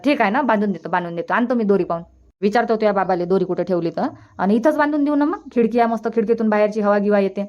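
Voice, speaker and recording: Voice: mid-range voice, rapid-fire, natural voice. A performer voicing an obliging, practical village family member in a Marathi cartoon comedy, with a Varhadi accent, in slightly muffled audio. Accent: Varhadi Marathi from Vidarbha.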